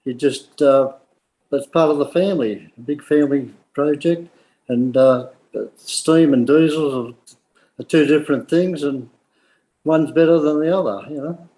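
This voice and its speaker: Aussie train driver guy, mellow voice, halting and plain. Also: a little high, poor audio. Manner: hesitant, plain-spoken.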